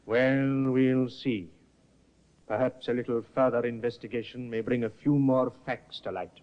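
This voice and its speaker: An actor playing a shrewd, polished defense barrister in a 1950s radio drama, speaking in a measured, probing way. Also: male voice, mid-range voice, fairly even intonation, natural voice. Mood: reassuring. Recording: dull lo-fi old radio broadcast.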